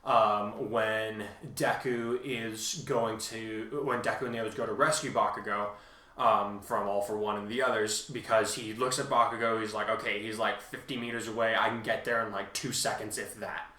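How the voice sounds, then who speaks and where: A man casually explaining as a co-host, halting but emphatic as he talks through his points. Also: fairly low pitch, fairly even intonation, sharp tone. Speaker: co-host and fan fiction author responding to reviews. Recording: roomy, echoing sound.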